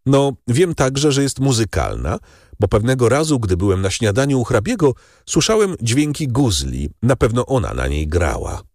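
Gossipy society ladies and gents, a guy doing the voices, pitched up, super animated. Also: moderate pace, no room sound, clean studio sound. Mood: chatty. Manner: raised, animated voice for dialogue. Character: gossiping society ladies and young gentlemen at the opera.

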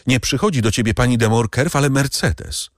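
A man's voice: medium pitch, fairly quick, no echo, clean studio sound, pitched above the performer's own voice, lightly acted. A man voicing an anguished middle-aged noblewoman pleading for her son's life. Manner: pleading, emotional.

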